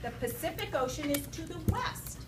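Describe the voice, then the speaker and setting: A woman's voice: high voice, animated, moderate pace. A woman teaching an elementary class in an interactive read-aloud, instructing, asking questions and repeating students' answers back. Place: indoor school classroom. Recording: roomy, distant, noisy classroom recording.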